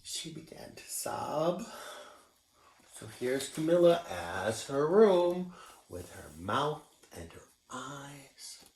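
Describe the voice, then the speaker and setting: Man, teacher reading aloud, lively pitch, encouraging storyteller, echoey room. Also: slow, recorded from a distance, a little hiss or hum. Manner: expressive, encouraging storyteller who shows pictures. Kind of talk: picture book read-aloud.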